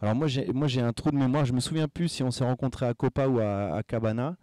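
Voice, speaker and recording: Thirties male, mellow and smooth, loose rambling delivery, dry room. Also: mid-range voice, quick pace, dry recording. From born in Casablanca, Franco-Chilean. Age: 30s. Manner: rambling, filler-heavy, restarts phrases. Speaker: event company co-founder. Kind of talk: relaxed promo interview.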